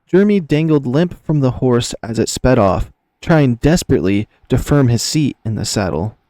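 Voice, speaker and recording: Mellow male story narrator, dry sound. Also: mid-range voice, expressive, normal speed, no room sound. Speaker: narrator, reader. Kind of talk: expressive scripted story narration.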